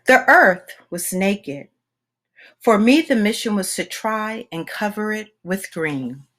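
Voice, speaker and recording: Slow, expressive woman reading a storybook. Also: mid-range voice. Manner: deliberate, expressive phrasing with dramatic pauses. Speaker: picture book reader. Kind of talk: children's read-aloud storytelling.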